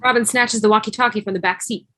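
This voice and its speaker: A woman's voice: a little high, fairly even intonation, fast, pushed and bright. A woman reading scripted stage directions as narrator of a fan table read over a video call. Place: remote video call, music behind.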